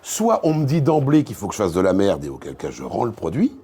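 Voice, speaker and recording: A man in a casual interview as a voice actor and dubbing director, telling anecdotes haltingly and repeating words as he goes. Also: lowish voice, huge pitch swings, dark tone, slightly rough. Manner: hesitant, repeats words, acts out quoted exchanges.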